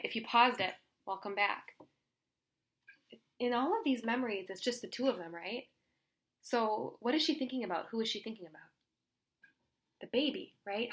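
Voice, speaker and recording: A woman teacher reading a children's story aloud in an expressive, instructive way, pausing to put questions to listeners. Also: medium pitch.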